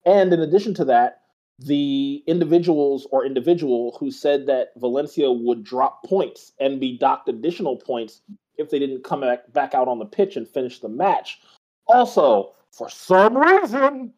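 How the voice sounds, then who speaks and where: A man's voice: medium pitch, animated, moderate pace, a little mellow. A man co-hosting a football podcast, talking bluntly and emphatically with swearing. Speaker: podcast co-host. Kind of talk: casual football podcast chat. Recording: slightly dull lo-fi audio.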